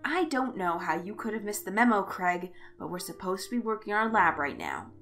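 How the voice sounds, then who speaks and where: A woman's voice: medium pitch, sing-song, brisk, a bit bright, soft background music. A woman voicing an easily irritated, observant young male student, impatient and incredulous, in an audio story.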